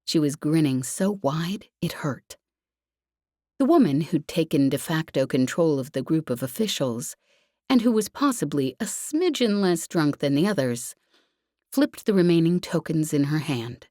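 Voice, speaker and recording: A woman's voice: sits low, animated, a little slow, mellow, dry recording. A woman reading scripted fiction as an audiobook narrator, recorded close. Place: dry, close recording space.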